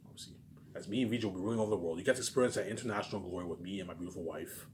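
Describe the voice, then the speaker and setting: A man's voice: sits low, very fast, heavy background noise. A man delivering a rambling solo vlog into a phone, emphatic, boastful and repetitive, often asking questions and answering them himself. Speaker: vlogger. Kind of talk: rambling solo monologue to camera.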